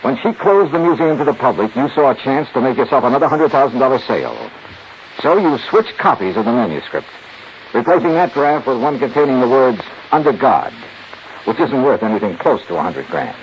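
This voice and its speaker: A man's voice: medium pitch, very animated, a little fast, natural voice. Muffled old-time radio lead: man playing confident American detective, clipped, widely varied intonation. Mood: accusing, confident. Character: cool, shrewd male insurance investigator who narrates. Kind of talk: 1950s radio drama, first-person narration. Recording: muffled, faintly noisy 1950s radio recording.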